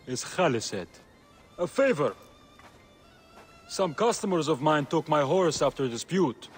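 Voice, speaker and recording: A man playing a sly, theatrical market trader in a video game, husky and showy in his delivery. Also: on the high side. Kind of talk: video game cutscene acting.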